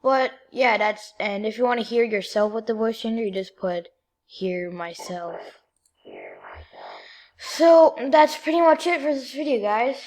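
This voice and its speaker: A female-sounding speaker giving a casual, halting step-by-step software tutorial as a screen-recording voice-over. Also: mid-range voice. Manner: informal, halting step-by-step instructions. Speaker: video creator giving a tutorial.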